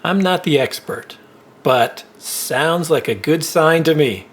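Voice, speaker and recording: An actor playing a friendly, easygoing corporate receptionist in a naturalistic audio drama. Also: male voice, fairly high pitch, takes it slowly. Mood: easygoing, curious.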